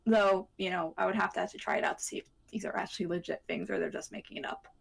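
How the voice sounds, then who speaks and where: Female podcast co-host, medium pitch, thoughtful and halting as she explains, conversational. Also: steady tone, gravelly, slightly rough. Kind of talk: casual discussion.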